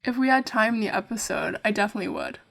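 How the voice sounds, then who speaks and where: An actress playing a young, curious documentary maker who is polite, wry and playful, in an audio drama. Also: female voice, medium pitch, animated, fast talker, creaky, fairly bright tone. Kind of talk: audio drama acting with narration.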